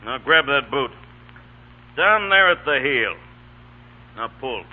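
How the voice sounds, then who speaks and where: An actor playing a brutish, bullying army sergeant who gruffly barks orders in an old radio drama. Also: male voice, mid-range voice, animated, slow. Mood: angry, bullying. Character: brutish bullying male Union army sergeant, looting and threatening. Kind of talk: 1930s-40s radio drama acting. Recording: muffled, very noisy old radio broadcast.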